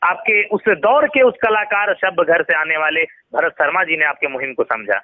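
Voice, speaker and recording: A man's voice: fairly high pitch, lively intonation, moderate pace, dry recording. A young man with a Bihari accent on a phone call, earnest and respectful but emphatic, often repeating his phrases. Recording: tinny phone line recording.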